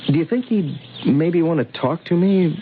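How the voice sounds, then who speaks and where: An actor playing a smitten, scheming suitor in a vintage radio drama, with an intense, ingratiating and hesitant manner. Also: male voice, on the high side, moderate pace, slight background noise, close to an everyday voice. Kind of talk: vintage radio drama acting and first-person narration. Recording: tinny band-limited old radio recording.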